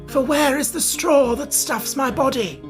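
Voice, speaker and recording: Woman doing a noble straw scarecrow, high and earnest. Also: a little slow, faint music behind. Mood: mournful. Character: noble self-sacrificing male scarecrow, stuffed with straw.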